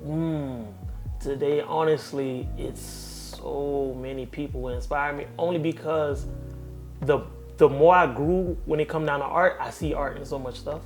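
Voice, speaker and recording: A man with a Haitian-influenced accent, a tattoo artist talking casually as an interview guest, relaxed, with street slang and easy laughs. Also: a little high, a little slow, faint music behind. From second-generation Haitian family. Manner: laid-back street slang, laughs easily.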